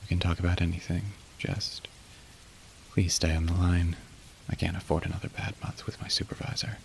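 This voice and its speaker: A man plays a demon phone operator for an ASMR roleplay, very low and gravelly. He is courteous and wry, sliding between suggestive polish and awkward apology, and his delivery stays narrow in pitch while rain falls behind him. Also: little pitch movement, moderate pace, a little hiss or hum. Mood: awkward, pleading. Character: suave, awkward young male incubus working a phone line. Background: falling rain.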